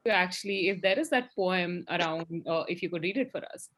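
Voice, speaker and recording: Brisk woman interviewer on a call. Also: on the low side, a bit bright. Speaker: interviewer, host. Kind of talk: polite interview prompting. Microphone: video call.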